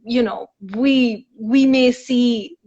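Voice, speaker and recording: A woman hosts a live online conversation and speaks in a friendly, reflective way. Her voice is fairly high. The audio is muffled and lo-fi, as on a video call. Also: drawn-out words, mellow. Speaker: host from the organizer. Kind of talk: moderating a live talk. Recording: dull lo-fi live stream audio.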